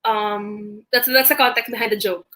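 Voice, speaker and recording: A woman's voice: mid-range voice, fairly even intonation, moderate pace, sharp tone. A young Filipino woman in her twenties hosting a live chat, tipsy, giggly and rambling, switching between English and Tagalog with a Filipino accent. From Philippines. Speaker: host.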